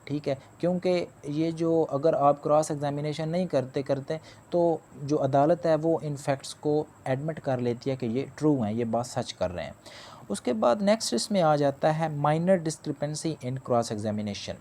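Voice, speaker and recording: A man's voice: on the high side, unhurried, dark tone, slight background noise. A man giving a spoken law lecture. He explains step by step, restates each point and mixes in English legal terms. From Pakistan.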